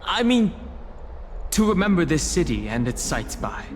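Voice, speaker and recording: A man playing a polite, cautious traveler in a video game, speaking in a measured, hesitant, thoughtful way. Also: fairly high pitch, sing-song, slight background noise. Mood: polite, flustered. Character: polite, cautious male traveler posing as a soldier. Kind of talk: video game character dialogue. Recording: music behind the voice.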